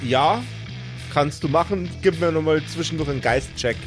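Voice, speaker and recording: Game master with higher-pitched male voice. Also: moderate pace. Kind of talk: improvised tabletop game narration. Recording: music behind the voice.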